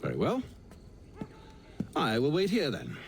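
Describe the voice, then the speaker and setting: A man's voice: mid-range voice, huge pitch swings, a little slow, creaky, heavily acted. An actor playing a proud middle-aged Templar father in a video game, British, with a dry, sardonic, eloquent delivery. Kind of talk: video game cutscene acting. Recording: faint background ambient noise.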